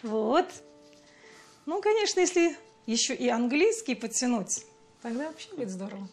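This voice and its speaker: An actress playing a patient, playful mother in her forties with a Russian accent, gently explaining things to her child in a TV sitcom. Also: fairly high pitch, sing-song, normal speed, soft background music. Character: bilingual mother, patient, fond of teaching. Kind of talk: television sitcom acting.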